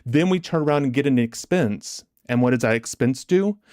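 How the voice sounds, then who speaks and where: A man hosting a livestream as a motivational trading coach, deliberate and emphatic, his chest a little congested from a recent illness. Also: mid-range voice, expressive, darkish tone, no echo, studio quality. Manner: deliberate, emphatic, repetitive rhetorical questions. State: chesty, getting over bronchitis, clearing throat.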